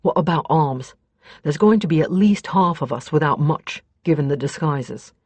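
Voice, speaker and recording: Woman putting on a gruff, practical male mercenary. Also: deep voice, animated, normal speed, a little mellow, no echo. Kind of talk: audiobook character dialogue.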